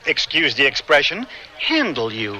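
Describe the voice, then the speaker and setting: A man playing a wheedling talent agent in a radio comedy, with halting delivery. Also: fairly high pitch, huge pitch swings, heavy background noise.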